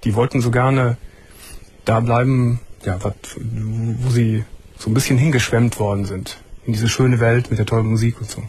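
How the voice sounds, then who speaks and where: A man hosting a late-night call-in show from a studio, asking calm, probing questions and giving short replies as he listens. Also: mid-range voice, moderate pace, breathy edge. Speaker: radio and TV talk show host.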